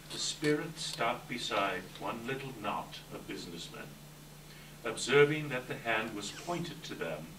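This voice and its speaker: A man reading scripted story narration at a live readers theater. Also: fairly low pitch. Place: indoor live staged reading. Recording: echoey room, distant mic, faint noise.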